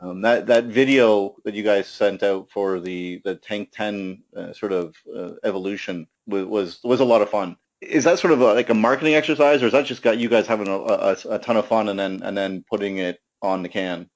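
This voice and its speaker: A man hosting a beer podcast, asking questions in a halting, casual way with many restarts. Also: fairly low pitch, steady tone, muffled recording. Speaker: podcast host. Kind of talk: casual interview.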